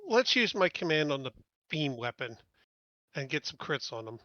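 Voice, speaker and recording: A man's voice: fairly high pitch, sing-song, moderate pace, pushed and bright, dry recording, muffled recording. A man playing a tabletop game, chatting casually about his moves as a player.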